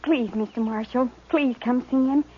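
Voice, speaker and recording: A woman's voice: fairly high pitch, moderate pace, a little hiss or hum, natural voice. An actress playing an anxious, plain-spoken American homestead girl in a 1950s radio western. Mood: worried, pleading. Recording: muffled vintage radio recording.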